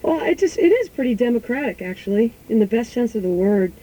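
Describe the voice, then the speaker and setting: A woman musician answering interview questions in a thoughtful, reflective way, sometimes pausing to search for words. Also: a little high, sing-song, warm tone, poor audio.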